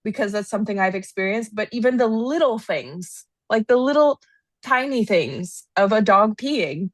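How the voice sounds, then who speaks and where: A woman in her thirties from Los Angeles with an American accent, chatting as an interview guest, animated and laughing often. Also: mid-range voice, normal speed, no echo. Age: 30s. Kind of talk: casual interview chat.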